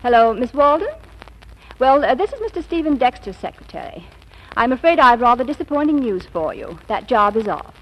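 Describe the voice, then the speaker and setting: Brisk, wry female secretary for vintage radio comedy; lively, deadpan, higher-voiced, old noisy broadcast sound. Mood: businesslike. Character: sharp, dryly sarcastic female secretary, jealously loyal. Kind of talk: 1940s radio comedy acting.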